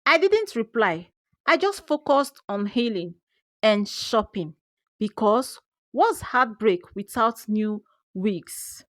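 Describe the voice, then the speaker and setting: A woman with a Nigerian accent telling a scripted first-person story in an expressive, theatrical way. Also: mid-range voice, takes it slowly, dry recording, clean studio sound. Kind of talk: dramatic first-person scripted folktale narration.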